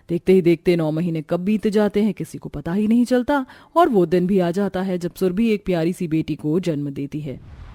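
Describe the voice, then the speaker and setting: A woman's voice: fairly low pitch, mellow. A woman reading scripted narration for a family moral story.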